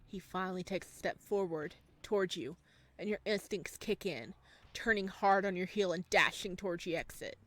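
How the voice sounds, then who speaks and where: A woman playing through a visual novel, reading the text aloud and adding animated, laughing comments. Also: on the low side, moderate pace. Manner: animated, laughing asides between hushed narration. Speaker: game streamer.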